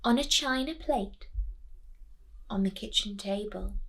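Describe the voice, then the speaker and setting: Teacher lady doing storytime, takes it real slow, expressive w dramatic pauses. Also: medium pitch. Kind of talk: expressive children's audiobook-style reading.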